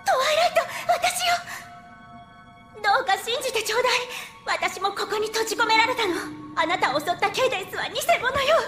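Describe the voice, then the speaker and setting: A woman's voice: very high-pitched, quick pace, bright, forceful tone, soft background music. A woman voicing a sweet, tender young pony princess in a dubbed cartoon. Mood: desperate, pleading. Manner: tender, feminine pleading.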